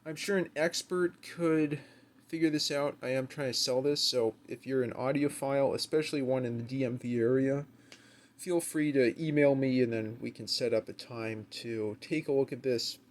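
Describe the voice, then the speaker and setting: A man's voice: mid-range voice, fairly even intonation, moderate pace. A man giving a casual, unscripted demonstration at home, musing and a little hesitant as he thinks aloud. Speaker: amateur video maker demonstrating equipment. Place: carpeted room at home, indoors.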